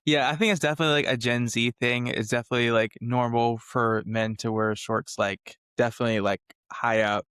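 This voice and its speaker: A young man speaks at a middle pitch with a smooth, clean, soft voice that some would call a therapist voice. He talks in a thoughtful, measured way with frequent fillers. The sound is dry and close. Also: steady tone, no echo. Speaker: podcast co-host. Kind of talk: casual discussion.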